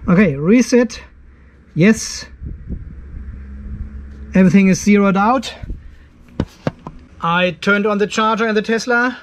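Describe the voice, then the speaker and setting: A man's voice: high-pitched, takes it slowly, long pauses, a little hiss or hum. An older man gives casual, chatty technical commentary for a video, explaining things informally step by step. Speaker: host of off-grid DIY channel. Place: cluttered garage workshop, indoors.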